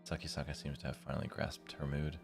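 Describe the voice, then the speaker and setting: A man's voice: rumbling low, completely flat delivery, fast, creaky. A man live streaming, reading a visual novel's narration aloud and breaking off to comment casually. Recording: music behind the voice. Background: guitar music from the game.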